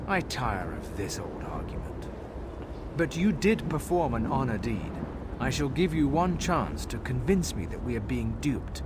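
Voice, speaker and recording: Impatient, scornful male elf elder, curt and pompous, very animated intonation, noisy game ambience. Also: medium pitch, a little slow. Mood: scornful impatience. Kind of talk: video game quest dialogue.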